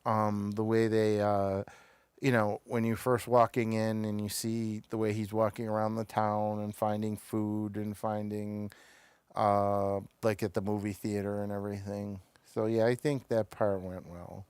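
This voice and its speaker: A man hosts a casual review show in a fairly low, smooth voice. His phrasing is halting, starting and stopping as he goes. The sound is dry and close, with little room in it. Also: little pitch movement, a little mellow, dry recording. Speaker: show host reviewing television episodes.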